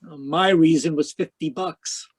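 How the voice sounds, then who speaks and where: Casting: male actor for hardboiled 1940s radio detective, lighter-pitched voice, dry wisecracks, world-wise and cynical. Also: a little slow, husky. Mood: offhand, cool. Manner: wry, terse, slangy delivery.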